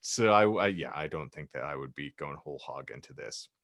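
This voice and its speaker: A man's voice: very deep voice, lively intonation, a little fast, creaky, fairly bright tone, no room sound, clean studio sound. A man co-hosting a casual podcast, bantering in a jokey, wordy, meandering way. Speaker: podcast co-host.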